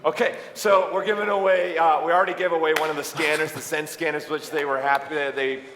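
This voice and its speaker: A man's voice: a little high, little pitch movement, fast. A man hosting a live conference, chatty and joking as he makes announcements to the crowd. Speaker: event host. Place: large event hall with audience. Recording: echoey hall, faint room noise.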